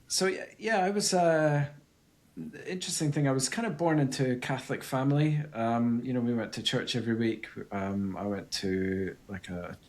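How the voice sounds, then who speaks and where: A man's voice: medium pitch, moderate pace, a little mellow. A man with a Scottish accent, a psychic medium guest speaking earnestly and reflectively on a video call. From Scotland. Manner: earnest, reflective, searching for words. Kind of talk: conversational interview over video call.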